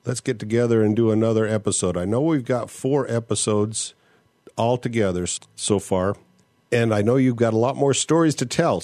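A man hosts his own podcast in a relaxed, conversational manner, his voice low and mellow. He is recorded close on the mic in a dry space with no room echo. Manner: relaxed, conversational, reads emails aloud. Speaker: podcast host. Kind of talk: casual solo podcast with ad read and listener mail.

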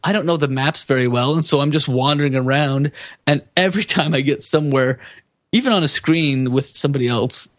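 A man's voice: on the high side, a little fast, no echo, clean studio sound. A man co-hosting a casual gaming podcast, chatting in a self-deprecating way and acting out little remarks as he tells stories. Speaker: podcast co-host.

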